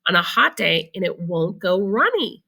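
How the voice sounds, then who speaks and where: A woman voicing a very old, excited grandfather who marvels eagerly in a children's storybook. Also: on the low side, normal speed, creaky, exaggerated voice. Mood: marveling. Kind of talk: children's storybook read-aloud.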